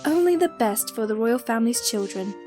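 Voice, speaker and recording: A high, lilting female voice, a woman acting as a nurturing pony nanny in a cartoon drama. She is patient and reassuring, with lively intonation over clear music. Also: fairly quick, lightly acted. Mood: reassuring, careful. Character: gentle, patient female pony royal caretaker.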